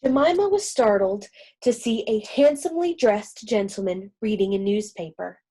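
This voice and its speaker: A woman reading a children's storybook aloud, gentle and expressive. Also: mid-range voice, normal speed, slightly rough, poor audio.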